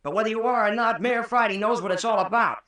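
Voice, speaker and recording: A man's voice: sits high, fast, bright, forceful tone. An actor playing an earnest, suspicious young American hero, protective of his sweetheart, in an old-time radio horror drama. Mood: accusing, insistent. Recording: roomy, distant old radio recording.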